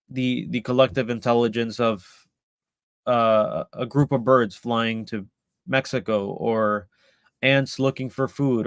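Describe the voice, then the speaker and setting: A man from El Salvador gives a live online talk, pausing often with ums and small restarts. His voice is smooth and clear. It sits at an ordinary pitch in a dry, close recording. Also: no room sound. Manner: hesitant, many ums and restarts. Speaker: community founder presenting.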